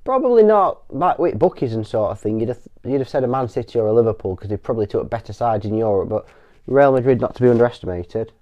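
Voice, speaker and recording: A man, croaky from a cold, chatting dryly on a family podcast as co-host. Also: mid-range voice, expressive, fast, few pauses. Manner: dry, joking. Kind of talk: casual chat. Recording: dull lo-fi podcast audio.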